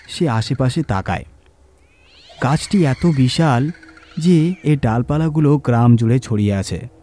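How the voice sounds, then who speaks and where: A man's voice: mid-range voice, warm tone. A man reading a scripted horror story as narrator, in a dramatic storytelling style.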